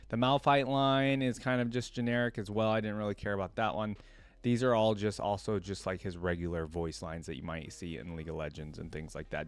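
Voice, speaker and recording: Man, mid-pitched voice, crisp and clean, casual video host, unscripted, thinking aloud, chatting to viewers. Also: dry recording. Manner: rambling, thinks aloud, addresses viewers as "guys". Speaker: reaction video host, League of Legends fan.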